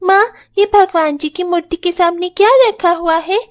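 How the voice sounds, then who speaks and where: A woman's voice: extremely high pitch, fairly even intonation, normal speed. A woman voicing an eager, hopeful little girl in a cartoon story, with a childlike way of talking. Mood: curious. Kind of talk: Hindi cartoon story voice acting.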